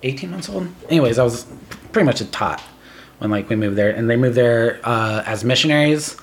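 A man's voice: mid-range voice, lively intonation, brisk, reverberant. A man in his thirties who grew up in Taiwan with Chinese as his first language, a podcast guest rambling casually with lots of filler words. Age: 30s. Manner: rambling, filler-heavy, laughs between thoughts. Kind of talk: casual chat.

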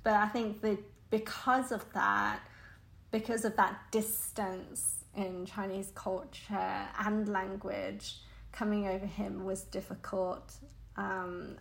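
A woman, talking slowly and thoughtfully in an interview. Her voice has a gravelly, creaky edge, and she often pauses, hesitates and laughs softly. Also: medium pitch. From United Kingdom. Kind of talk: conversational interview. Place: Hong Kong.

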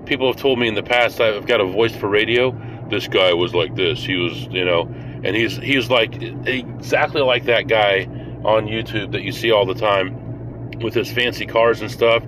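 A man with a lowish voice talks loosely to his viewers from behind the wheel, thinking out loud and wandering off topic. Heavy car road noise sits under everything he says, and the talk stays chatty and unhurried. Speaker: host. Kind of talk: casual driving vlog. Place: inside a moving car.

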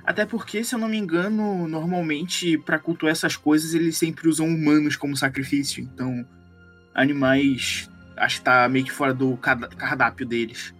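A man as a higher-voiced skeptical Brazilian detective. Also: steady tone, normal speed, fairly bright tone, soft background music, lightly acted. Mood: explanatory. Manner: casual, reasoning. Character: skeptical, analytical male psychologist detective in horror roleplay.

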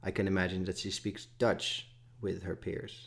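A man's voice: sits low, level tone, fairly quick, gravelly. A man hosting a casual podcast interview, asking long, rambling questions in a chatty way. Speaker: podcast host.

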